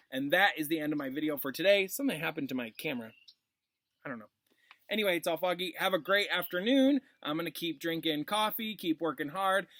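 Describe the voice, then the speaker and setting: A man's voice: high voice, a bit bright. A man, a classroom teacher reading a story expressively for his pupils, dropping into informal asides. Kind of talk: expressive read-aloud for pupils.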